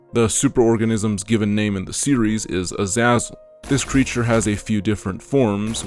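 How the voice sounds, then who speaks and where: A man reading scripted narration for an analysis video in an explanatory, measured way. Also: lowish voice. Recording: music behind the voice.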